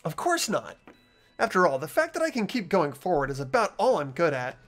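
Man acting an earnest, animated teenage boy hero. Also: on the high side, brisk, faint music behind. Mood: relieved, surprised. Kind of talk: visual novel voice acting.

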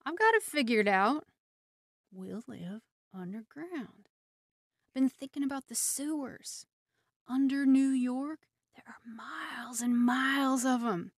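A woman plays an embittered soldier scheming to rule the ruins in a radio play. The voice is high and dry, with halting intensity that moves between hushed plotting and fervor. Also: no echo, heavily acted. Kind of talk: radio drama acting.

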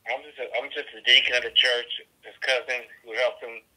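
A man speaks quickly over a phone line in a very bright, tense voice. He argues defensively, repeating his denials insistently as a caller. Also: medium pitch, flat delivery. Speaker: caller, church deacon.

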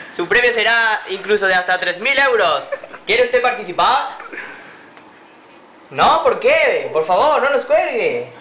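A man's voice: very high-pitched, pushed and bright, slight background noise. A young man in a hotel room poses as a radio presenter on a prank call, speaking in a formal, overly polite announcer tone. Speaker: prank caller posing as radio host. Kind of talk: mock radio contest patter. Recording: echoey room.

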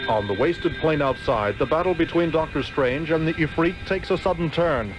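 A solemn storyteller played by a man narrates an old radio drama in a somewhat high voice. The scripted delivery is dramatic, with clear music underneath. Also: normal speed, natural voice.

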